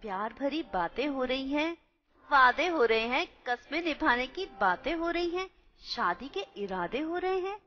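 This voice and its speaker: Lively high-voiced woman narrator. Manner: lively, teasing commentary. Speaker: narrator of a radio play. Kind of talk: scripted narration. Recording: dull lo-fi recording.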